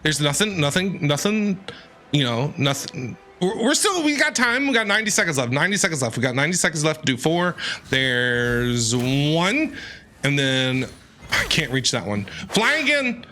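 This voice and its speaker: A man giving excited game stream commentary, exclaiming and repeating his phrases in a panicky way. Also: on the high side, normal speed. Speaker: streamer playing and reacting to a video game.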